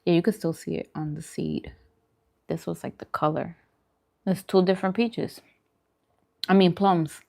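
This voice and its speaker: A woman's voice: lowish voice, steady tone, unhurried, creaky, long pauses, a little mellow. A woman hosting a mukbang, chatting casually to viewers between bites with murmured reactions. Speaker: mukbang host. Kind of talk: casual eating chat to viewers.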